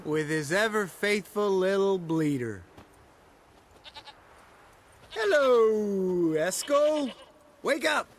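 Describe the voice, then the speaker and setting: An actor playing a brash, sarcastic, goading monster hunter in a video game. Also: male voice, high voice, animated, extremely slow, pauses often, full character voice. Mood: drunken, rowdy. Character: brash, needling, drunken monster hunter, grown man.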